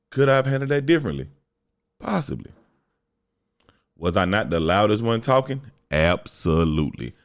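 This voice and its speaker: Young guy w a deep low voice ranting on his podcast, cussing, swings up n down a lot. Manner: blunt, profane, animated storytelling. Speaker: podcast host. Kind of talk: solo podcast rant.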